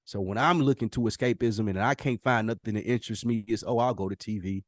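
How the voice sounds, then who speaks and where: A man's voice: lowish voice, fast, no echo, clean studio sound. A man co-hosting a podcast in casual chat, speaking candidly and informally with plenty of slang. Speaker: podcast co-host.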